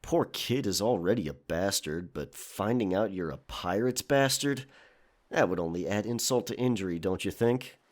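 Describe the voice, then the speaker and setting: A man playing a world-weary young pirate with a disgraced past in an audio drama, speaking in a halting, wry way. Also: on the low side, animated, close to an everyday voice. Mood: wry, bitter.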